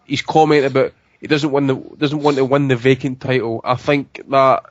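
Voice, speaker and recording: A man hosting a casual boxing podcast, reading out listener questions at a brisk clip. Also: mid-range voice, flat delivery, no room sound, highs cut off. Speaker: podcast host.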